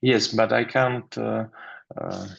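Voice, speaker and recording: A man's voice: medium pitch, flat delivery, slow, vocal fry, fairly bright tone. A man with a Russian accent giving a hesitant webinar lecture on dentistry, full of fillers and restarts over a video call. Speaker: dental lecturer presenting a webinar. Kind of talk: halting webinar presentation. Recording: slightly dull video call audio.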